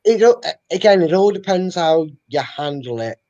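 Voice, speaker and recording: Young man, higher-pitched but mellow, emphatic football fan co-host, dull dry video call sound. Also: animated, a little slow, dry recording. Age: young adult. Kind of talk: casual football post-match chat. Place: living room at home, indoors. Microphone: video call, webcam.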